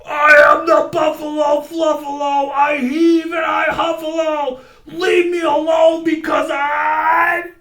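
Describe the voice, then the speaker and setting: A woman voicing a grumpy, huffy male buffalo in a read-aloud storybook, shouting and blustering. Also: mid-range voice, big pitch swings, takes it slowly, few pauses, a faint crowd, pitched above the performer's own voice. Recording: echoey room.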